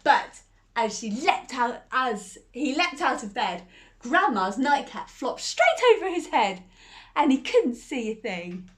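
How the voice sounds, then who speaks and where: A woman reading a bedtime fairy tale aloud and chatting gently with young listeners between lines. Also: sits high, very animated, takes it slowly. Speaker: storyteller.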